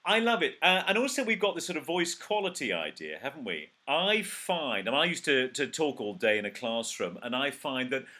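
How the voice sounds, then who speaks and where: A man's voice: mid-range voice, animated, moderate pace, hardly any pauses, bright, forceful tone. A man hosting an interview over a video call. He sounds enthusiastic and jokes with his guest. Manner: enthusiastic, joking, upbeat. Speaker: host. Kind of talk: remote interview chat.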